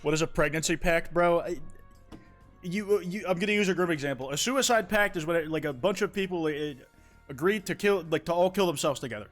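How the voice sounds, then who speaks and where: A man's voice: fairly high pitch, a little fast, faint music behind. Rambling profane young male streamer. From United States. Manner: rambling, profane, many restarts. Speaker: streamer reading viewer confessions. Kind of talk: casual live-stream chat.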